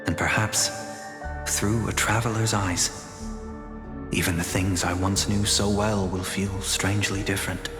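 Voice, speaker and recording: Game role: wistful idealistic young man, low and even, in long reverb. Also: unhurried. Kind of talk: video game story dialogue. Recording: reverberant echo, music behind.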